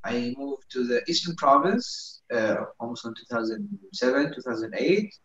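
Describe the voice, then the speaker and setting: A man from Saudi Arabia speaking as a founder on an online panel, halting and searching for words. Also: medium pitch, animated, a bit bright. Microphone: video call. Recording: roomy, dull video call audio.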